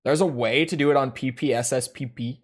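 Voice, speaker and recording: A man's voice: medium pitch, fairly quick. A man in his early twenties streams a video game and chats casually, rambling and joking at his own expense. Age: early 20s. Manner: rambling, joking and self-mocking. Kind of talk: casual chat while gaming.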